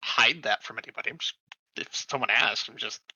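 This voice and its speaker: A man's voice: mid-range voice, fairly quick, bright, forceful tone, dry recording. A man chatting casually as a tabletop role-play player, stammering and repeating words, on slightly dull audio. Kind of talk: casual in-game chat.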